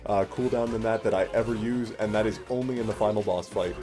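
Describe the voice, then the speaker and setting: A man's voice: fairly low pitch, fairly even intonation, quick pace. A man giving casual, explanatory commentary as he plays a game, with a wry way of talking. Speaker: let's play host playing the game. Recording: game music behind.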